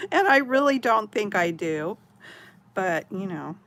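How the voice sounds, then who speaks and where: A woman's voice: mid-range voice, big pitch swings, slow, fairly bright tone. A middle-aged woman from Oregon, chatting casually with live viewers as a craft channel host, rambling and giggling often. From Oregon, USA.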